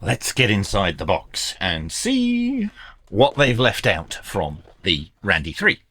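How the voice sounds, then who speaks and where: A man talks through a product unboxing in a fairly low voice. His intonation moves around a lot, rising and dropping as he goes. He is informal and plain-spoken, offering his opinions as a reviewer would. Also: huge pitch swings, takes it slowly, bright, forceful tone. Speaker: reviewer and presenter of radio gear.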